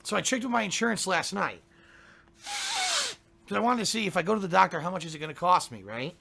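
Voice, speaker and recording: Streamer, male, down with a cold: hoarse, congested, gravelly, grumbling chat with throat-clearing. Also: high-pitched, wildly swooping pitch, brisk, sharp tone. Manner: grumbling, ranting, keeps clearing his throat. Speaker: game streamer. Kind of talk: casual pre-stream chat to viewers.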